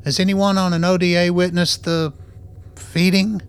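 Man playing a dry, higher-voiced world-weary scientist. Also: little pitch movement, normal speed, clean tone, close to an everyday voice. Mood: inquiring. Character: wry, world-weary male research scientist. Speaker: voice actor in a radio play. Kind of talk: radio drama acting.

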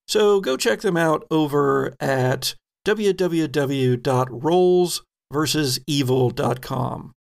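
A man with a creaky, gravel-edged voice runs a horror tabletop game. He takes his time, telling the story dramatically and pausing deliberately as game master. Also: medium pitch. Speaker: game master, podcast host. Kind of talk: narrating a tabletop horror role-playing game.

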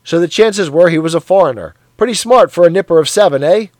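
A man voicing a hearty, sweaty, zealous middle-aged man who talks in a loud, cheery, blustering way. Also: sits high, lively intonation, fast, no echo, voice pushed up. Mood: boastful.

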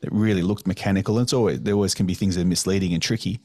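A man hosts a podcast conversation, speaking in a low voice that sounds dry and close. He talks thoughtfully, with steady affirmations. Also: very fast, no echo. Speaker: podcast host. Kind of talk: conversational interview.

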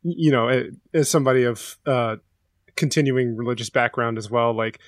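A man's voice: mid-range voice, steady tone, normal speed. A man on a podcast panel talking reflectively and casually, with an earnest, affirming, thoughtful way of speaking.

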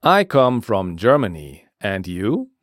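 A man hosts a scripted language lesson in a fairly low voice. He takes his time, setting out each phrase deliberately for learners. His intonation is very lively, and the sound is close and dry. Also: no room sound. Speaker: language teacher and podcast host.

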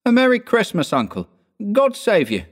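Man voicing a cheerful, eager young nephew, high-pitched. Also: big pitch swings, a little fast, close to an everyday voice. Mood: hearty. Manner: exclaiming, bright, pleading. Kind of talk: audiobook character voice.

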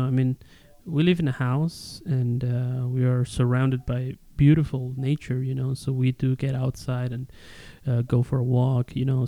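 A man's voice: mid-range voice, dark tone, no echo. A man from Ecuador hosting a casual podcast interview in an enthusiastic, chatty way.

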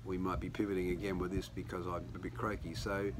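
A man, slightly hoarse, giving a conversational sermon recorded at home, often restarting his sentences. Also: low voice, level tone, fast talker, background noise. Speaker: pastor.

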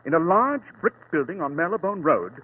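A commanding detective from an old radio play, rapid and very animated. His diction is clipped and precise, and he sounds sure of himself. The recording is thin and muffled. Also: on the high side, a little hiss or hum. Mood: confident, lively. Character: sharp, confident, commanding male detective. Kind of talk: old-time radio drama acting.

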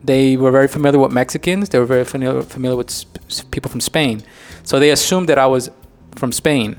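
A man from Colombia talking easygoing and relaxed in a casual conversation, getting animated when telling stories. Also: mid-range voice, fast talker, faint music behind. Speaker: guest.

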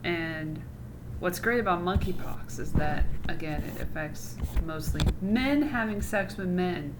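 A woman hosting her own podcast, talking in a sarcastic, mocking way that is full of swearing. Her voice sits fairly low. A steady hiss can be heard behind her on the home mic recording. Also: unhurried. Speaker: podcast host. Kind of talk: casual solo podcast chat.

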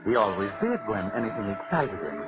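A man playing a sinister, all-knowing narrator in a measured, theatrical 1940s radio mystery style. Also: on the low side, big pitch swings. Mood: grim storytelling. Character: the Whistler, sinister all-knowing male narrator who walks by night. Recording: dull vintage 1940s radio recording. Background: music.

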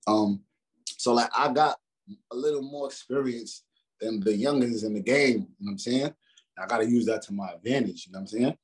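A man's voice: mid-range voice, brisk, husky, rough recording. A man in his twenties chatting casually as a rapper in an interview, loose and slangy with lots of fillers and restarts. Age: 20s. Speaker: rapper guest.

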